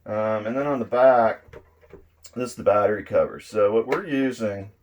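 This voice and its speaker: Low-pitched man giving a demo. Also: a little mellow, a little hiss or hum. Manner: unhurried, explains step by step. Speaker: presenter of electronics demo videos. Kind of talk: casual hands-on product demonstration. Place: indoors at a draped table.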